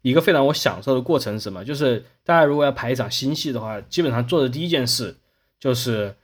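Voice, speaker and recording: A man hosting a podcast, chatting casually in an animated, storytelling way. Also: medium pitch, fast talker, a crowd in the background. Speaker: podcast host. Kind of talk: casual panel chat.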